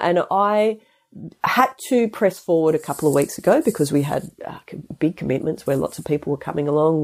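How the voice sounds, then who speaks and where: A woman's voice: sits low, dark tone. A woman with a stuffy head cold, hosting her podcast in a chatty, rambling way full of restarts and asides. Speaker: podcast host and health practitioner. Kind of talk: casual solo podcast talk.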